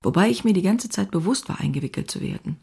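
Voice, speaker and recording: Casting: restless young woman writer in an audio drama diary, husky with gravelly fry, intimate and confessional. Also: mid-range voice, lively intonation, fast, warm tone. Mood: agitated, bitter. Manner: intimate, confessional, often dropping into breathy near-whispers.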